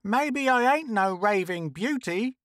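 A man voicing a brash, indignant, tough woman of a space mining camp, loud and scolding, with rough grammar, in a pulp science fiction story. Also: sits high, animated, takes it slowly, no echo, clean studio sound, voice pushed up, full character voice. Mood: proud, defiant.

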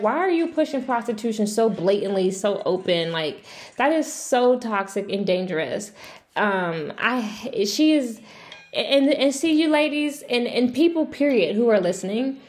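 Higher-pitched woman, blunt casual commentary. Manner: blunt, exasperated, sweary. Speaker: video commentator.

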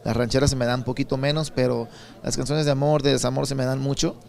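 A Mexican man in his thirties from Sinaloa, answering interview questions at an indoor expo booth into a handheld mic, relaxed and rambling with many repeated words. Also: mid-range voice, fast, gravelly. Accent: Sinaloan Mexican Spanish. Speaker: songwriter and singer.

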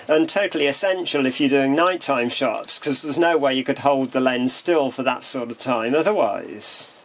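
A man calmly and methodically explaining how camera lenses work, in a demonstration voice-over. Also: medium pitch. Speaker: camera lens reviewer.

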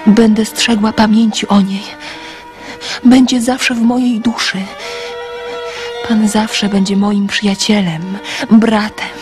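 An actress playing a young woman in love in a radio drama, soft, earnest and pleading. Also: fairly high pitch. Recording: radio drama with music underneath.

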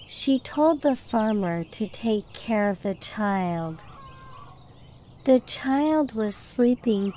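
Slow-paced woman narrating a children's fable. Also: mid-range voice, darkish tone. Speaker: storyteller.

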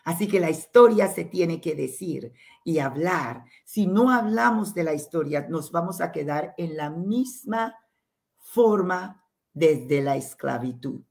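Brief: middle-aged San Andrés-accented woman, low and unhurried, impassioned guest on a home video call. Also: expressive, creaky, darkish tone. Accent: San Andrés island. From Colombia. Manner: impassioned, emphatic, dropping code-switched English asides. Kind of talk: interview over video call. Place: home room.

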